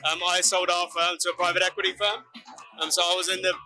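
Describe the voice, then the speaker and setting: A man who grew up in London, a regional head of a firm, answering calmly with frequent pauses. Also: high voice, faint music behind. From Sri Lanka, raised in London. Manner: calm, measured, frequent "um"s. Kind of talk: casual interview answers.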